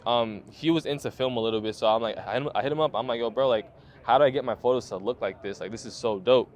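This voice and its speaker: Fast-talking young man, slangy and loose. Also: mid-range voice. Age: 20s. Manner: laid-back, loose slangy talk with frequent fillers and swearing. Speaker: photographer guest. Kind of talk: casual interview storytelling.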